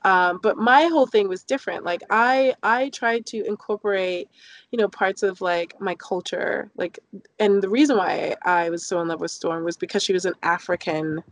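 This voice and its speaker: A woman in her thirties from London, telling personal stories casually and jokingly as an interview guest, with animated emphasis. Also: medium pitch, normal speed, dry recording.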